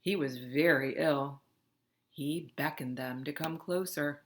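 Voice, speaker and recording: A woman reading a children's picture book aloud in an expressive, dramatic narrating style. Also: low voice, slow. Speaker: storybook reader.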